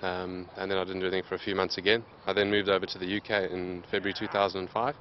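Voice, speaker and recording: Interview subject: man in his late 20s with a low voice, talking steadily with lots of "um"s, in a dull, lo-fi outdoor recording. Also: a little hiss or hum. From Zimbabwe. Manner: steady, plain, many "um" pauses. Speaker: interviewed athlete. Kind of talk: casual interview answers.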